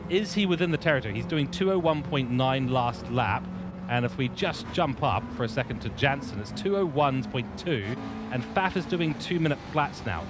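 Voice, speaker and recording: Lively man commentating a race live. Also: mid-range voice. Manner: lively, self-deprecating, playful asides. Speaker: sim racing lead commentator. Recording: steady background noise.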